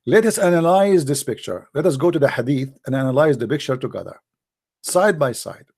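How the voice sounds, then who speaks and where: A man's voice: mid-range voice, expressive, fairly quick, a little mellow. A man hosting a call-in show, speaking English as a second language with emphatic, mocking sarcasm. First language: Arabic. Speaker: show host. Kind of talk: live call-in show commentary.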